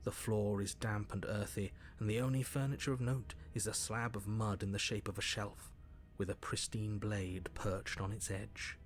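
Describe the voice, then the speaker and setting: An actor voicing the calm, wry narrator of a dark video game, speaking in a measured, faintly sardonic way. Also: male voice, on the low side, a little hiss or hum, natural voice.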